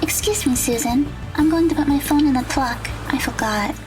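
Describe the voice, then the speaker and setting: A woman playing a gentle, earnest and eager teenage girl in an audio drama. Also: sits high, normal speed, over music, close to an everyday voice, rough recording.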